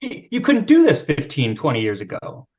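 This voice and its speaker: A man's voice: a little high, moderate pace. Guy with a young-sounding voice, laid back and self-deprecating, lots of ums, kinda dull lo-fi audio. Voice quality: youthful-sounding voice. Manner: relaxed, self-deprecating, many fillers. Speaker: podcast guest, video creator. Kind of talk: casual interview chat.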